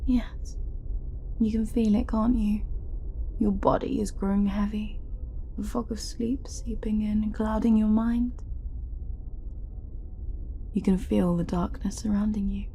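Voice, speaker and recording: A woman playing a scheming, smug evil royal advisor with magic in a fantasy audio drama, breathy and often near a whisper, taunting with mock courtesy. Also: medium pitch, mellow, slight background noise. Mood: soft, menacing. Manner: theatrical, mock-courteous, taunting, drawn-out phrasing.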